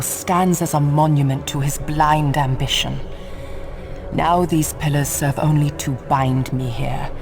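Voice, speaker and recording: A weary ancient ghost, slow, solemn, mournful, game music behind. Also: medium pitch, gravelly.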